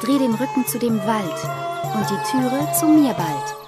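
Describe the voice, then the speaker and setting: Woman voicing a high, slow little fairy-tale girl. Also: sing-song, over loud music. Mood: pleading.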